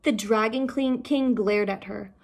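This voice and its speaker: A woman's voice: fairly high pitch, measured pace. A woman narrating a children's story aloud as a storyteller.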